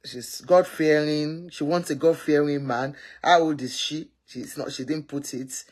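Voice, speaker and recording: A woman's voice: sits low, steady tone, measured pace, darkish tone. A woman hosting a casual live matchmaking stream, rambling and often correcting herself.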